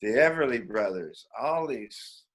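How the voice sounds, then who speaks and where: A Canadian man in his seventies telling rambling, animated stories in a casual, reminiscing way as an interview guest. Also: mid-range voice, a little slow. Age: 70s. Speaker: veteran musician, interview guest. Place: remote online call.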